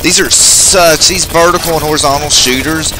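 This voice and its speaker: A man's voice: fairly high pitch, steady tone, moderate pace, rough recording. A man giving casual, off-the-cuff commentary as he goes through a video game. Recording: game music behind the voice. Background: video game music.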